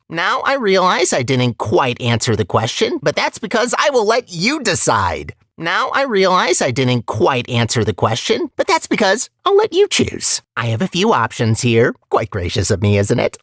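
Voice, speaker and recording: A woman's voice: sits low, no echo, clean studio sound, heavily acted. A woman voicing a scheming, sarcastic AI villain in a video game, chatty and mock-polite in a theatrical way. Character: female scheming AI villain, breezily cheerful, sarcastic and murderous. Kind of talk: video game character dialogue.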